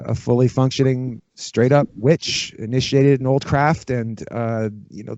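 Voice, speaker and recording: Male, middle-aged, podcast guest. Mellow, dark tone, casual rambling delivery, dull-sounding recording. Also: medium pitch. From Canada, Toronto area. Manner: informal, peppers talk with "you know". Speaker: podcast guest, ritual drummer. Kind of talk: casual, rambling conversational interview. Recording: muffled, roomy, echoey sound.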